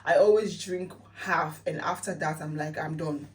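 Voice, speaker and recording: A woman's voice: low-pitched, fairly even intonation, moderate pace, recorded from a distance. A woman with a Sierra Leonean accent chatting casually as a vlogger, repeating phrases for emphasis. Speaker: lifestyle vlogger. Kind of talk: casual chatty home-remedy vlog.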